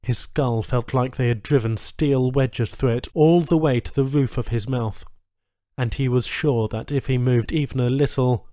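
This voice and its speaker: A man reads a story as an audiobook narrator. His pitch is middling for a male voice, and the recording is close and dry, with no room sound. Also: steady tone, moderate pace, warm tone, no room sound.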